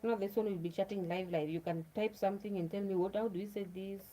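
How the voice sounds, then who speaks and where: Mellow-voiced Kenyan woman chatting on a livestream. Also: medium pitch, fairly even intonation, fast talker, slight background noise, low-quality recording. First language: Luo. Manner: chatty, repeats herself, talks straight to viewers. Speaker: livestream host. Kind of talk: casual livestream chat.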